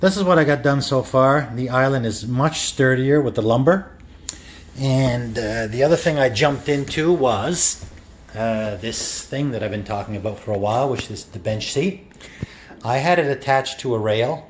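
A man's voice: mid-range voice, normal speed, slight background noise. A man giving a casual, rambling walkthrough of his kitchen renovation with lots of fillers, recorded on a handheld phone in the kitchen. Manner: rambling, many fillers and restarts. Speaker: home renovation vlogger. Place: kitchen under renovation, indoors. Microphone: handheld phone camera.

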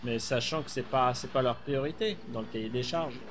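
A man's voice: medium pitch, brisk, a little hiss or hum. A middle-aged man streaming a rally game from a small room, chatting casually and thinking aloud with many fillers. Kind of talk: live gameplay chat.

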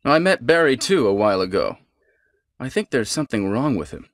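A man's voice: medium pitch, sing-song, brisk, no echo, studio quality. An actor playing a composed, secretive male squad leader in a stilted nineties video game. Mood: calm, guarded.

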